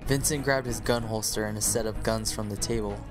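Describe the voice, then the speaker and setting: Low-voiced man narrating an audio drama. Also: fairly even intonation, fairly quick, faint music behind.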